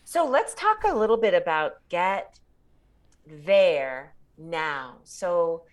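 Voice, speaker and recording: A woman in her sixties talks as a podcast guest in a relaxed, reflective way, often breaking into laughter. Her voice sits a little low for a woman. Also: takes it slowly. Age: 60s. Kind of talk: casual interview chat.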